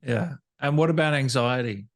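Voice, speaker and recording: A man's voice: medium pitch, moderate pace. A man hosting an interview, asking questions in a conversational, attentive way and feeling out his phrasing. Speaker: interviewer, podcast host.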